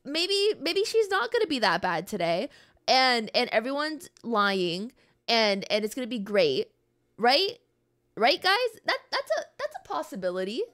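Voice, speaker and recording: A woman's voice: fairly high pitch, fairly bright tone. A woman livestreamer chatting casually with her viewers in a playful, dramatically emphatic way. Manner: playful, drawn-out emphatic delivery with dramatic exaggeration. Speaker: livestreamer, gaming channel host. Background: stream music.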